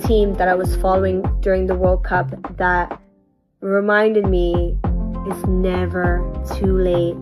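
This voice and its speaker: A woman in her thirties from Canada, looking back on a performing tour in a heartfelt, motivational personal vlog. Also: fairly low pitch. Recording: music playing underneath.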